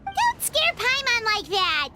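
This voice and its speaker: A woman voicing a tiny, girlish fairy companion in a video game, squeaky, exclamatory and easily frightened. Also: wildly swooping pitch, slow, heavy background noise, exaggerated voice. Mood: alarmed. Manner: squeaky, exclamatory, refers to self in third person.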